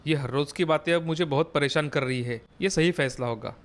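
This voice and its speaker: Man as easily swayed young husband, cartoon drama, earnest, slightly high pitch. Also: a little fast, natural voice. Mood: troubled.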